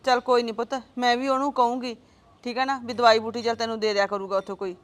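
A woman's voice: flat delivery, fast talker, lightly acted. A woman playing an older mother, pleading and lamenting, bristling when challenged. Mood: soothing, sorrowful. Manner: pleading, lamenting, pitch rises in arguments. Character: grieving older mother, defensive, talks back. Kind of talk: family drama skit.

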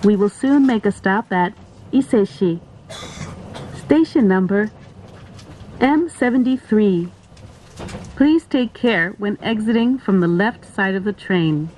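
A woman's voice: mid-range voice, animated, extremely slow, pauses often, darkish tone, poor audio. A woman reading a scripted train announcement in a formal, carefully phrased way. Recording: in-car announcement with vehicle noise. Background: train running noise.

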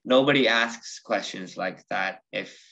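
A man's voice: lowish voice, unhurried, gravelly, bright, forceful tone. A teenage boy with a slight Indian accent, telling a personal story on a video call, reflective and full of ums. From United States, raised partly in India. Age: teens. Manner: reflective, with many ums and restarts. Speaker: student guest. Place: bedroom at home. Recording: muffled video call audio.

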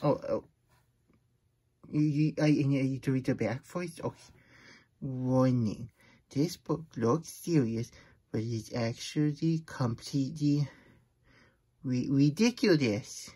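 Puppet story-time host: childlike, grumbly hedgehog played by a man, husky and mellow, stammering, fretful asides. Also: medium pitch, slow pace, long pauses. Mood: chatty. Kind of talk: puppet read-aloud with chatty asides.

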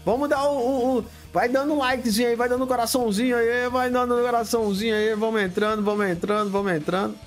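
A man's voice: very high voice, steady tone, quick pace, hardly any pauses, soft background music. A man in his fifties with a Brazilian Portuguese accent, hosting a casual live stream from a home studio, answering viewer questions bluntly and greeting people by name. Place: home studio room lit with colored LEDs.

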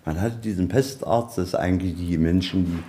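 Low-pitched, mellow-voiced man guiding a tour. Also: fairly quick. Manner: plain-spoken, colloquial, step-by-step explaining. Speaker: museum guide. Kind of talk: explaining exhibits on a guided tour. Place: inside a museum, on location.

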